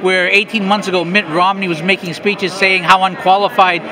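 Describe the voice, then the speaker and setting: A man's voice: high-pitched, flat delivery, normal speed. A man in his fifties interviewing a guest in a conversational way, with chatty asides, at a busy convention. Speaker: blogger interviewer. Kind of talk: casual interview questions. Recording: crowd noise behind.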